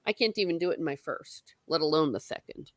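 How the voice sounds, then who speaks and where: A woman's voice: low-pitched, fairly quick, no room sound. A woman hosting a casual livestream from her home, chatting, laughing often and reading viewer comments aloud. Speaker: stream host.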